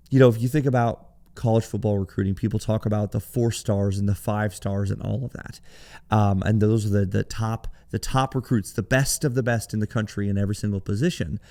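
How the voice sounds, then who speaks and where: A man hosting a podcast alone, talking in a conversational, explanatory way, his voice hoarse and raspy from cheering. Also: lowish voice, dark tone. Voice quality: gravelly, raspy. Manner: conversational, explanatory, with some restarts. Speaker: podcast host. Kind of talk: solo business podcast talk.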